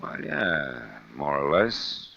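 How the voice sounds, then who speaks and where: Low-voiced american gumshoe, wry and clipped, old dull radio recording. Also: male voice, big pitch swings, slow, creaky, pushed and bright, full character voice. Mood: wry, guarded. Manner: wry, clipped, hard-boiled. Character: shrewd, wisecracking male private detective. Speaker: actor in a radio detective drama. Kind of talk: mid-century American radio noir acting.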